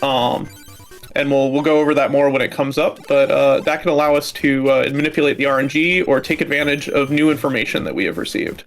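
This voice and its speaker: A man giving explanatory live commentary on a game, measured and a little hesitant. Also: medium pitch. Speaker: speedrun commentator. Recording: music behind the voice.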